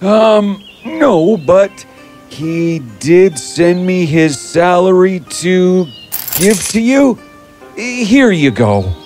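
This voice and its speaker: Man acting as a kind troubled teacher, hesitant, earnest, slow, raised animated pitch, storybook. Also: soft background music, exaggerated voice. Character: kind, timid, troubled poor schoolteacher husband.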